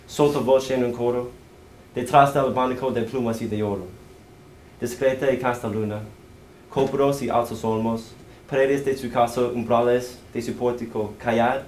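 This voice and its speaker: A man's voice: mid-range voice, a little mellow. Young man reciting poetry in an echoey room. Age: young adult. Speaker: student reciting in a contest. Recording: echoey room with background noise.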